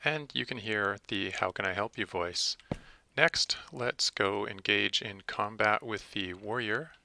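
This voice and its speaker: A man narrating a step-by-step software tutorial in a methodical, instructional manner. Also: on the low side, a little slow, vocal fry, a little hiss or hum.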